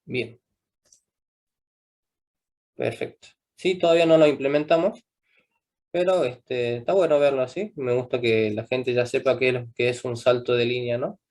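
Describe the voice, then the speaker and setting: A man's voice: medium pitch, fairly even intonation, normal speed, pauses often, darkish tone. Patient man teaching programming. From Argentina. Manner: patient, keeps checking in with "¿sí?". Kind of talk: live coding class.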